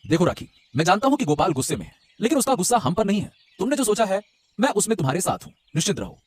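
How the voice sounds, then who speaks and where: A man's voice: high voice, very animated, rapid-fire, breathy edge, dry recording, exaggerated voice. A man voicing an earnest young husband in a Hindi cartoon, reasoning and pleading with hesitant insistence. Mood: supportive. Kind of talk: Hindi moral-story cartoon voice acting. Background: music.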